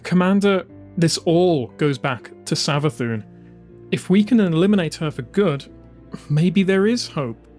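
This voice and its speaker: Man acting young woman fighter, pleading, stubborn, emphatic, lifted pitch, audiobook drama, music behind. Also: expressive, a little slow, a little mellow.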